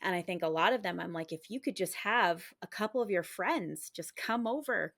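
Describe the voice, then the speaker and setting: A woman with a lowish, creaky voice hosts a casual interview. She talks informally and effusively, close to the mic in a dry remote recording. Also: lively intonation, normal speed, a bit bright, no room sound. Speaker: podcast host, actor and screenwriter. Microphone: close mic on a remote podcast recording.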